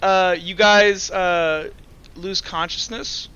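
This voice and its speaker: A man with a high, forceful voice runs a tabletop roleplaying game. He explains and narrates informally, hesitating as he goes. The sound is slightly dull and muffled. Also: fairly even intonation, slow pace, clean tone. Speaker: game master.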